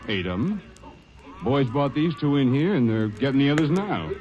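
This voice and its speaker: A man's voice: mid-range voice, very animated, normal speed, a crowd in the background, close to an everyday voice. A man playing a nervous drawling rancher. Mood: defensive. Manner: drawling, protesting, colloquial. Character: nervous, defensive male rancher.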